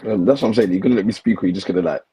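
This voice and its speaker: A man co-hosting a casual football chat, slightly hoarse from losing his voice, laid-back and defensive about his team. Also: sits low, rapid-fire, a crowd in the background. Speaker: co-host, football fan.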